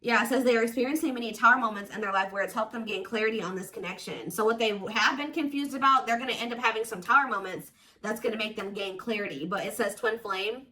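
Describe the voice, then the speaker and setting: Bright-voiced woman reading tarot casually. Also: a little high, fast, few pauses. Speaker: tarot reader, host. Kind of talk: casual intuitive tarot card reading, speaking to viewers.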